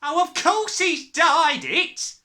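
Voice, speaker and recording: A woman voicing a shrill, scornful middle-aged British mother who scolds and nags in a storybook. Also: animated, slow, sharp tone, full character voice. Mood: shrieking scorn. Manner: shrill, exclamatory, nagging.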